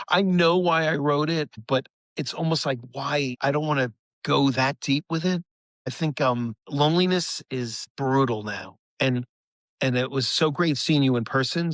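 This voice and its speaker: A man's voice: mid-range voice, no room sound. A middle-aged American man chatting casually as an interview guest, informal and rambling, with enthusiasm. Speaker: guest musician, rock band singer-songwriter. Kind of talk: casual chat.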